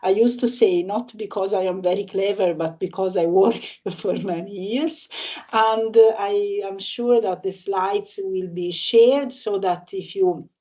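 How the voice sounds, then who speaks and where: A woman's voice: mid-range voice, unhurried, mellow, muffled recording. A woman in her fifties from Italy with an Italian accent, a professor presenting on a panel with many hesitations. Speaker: computer science professor.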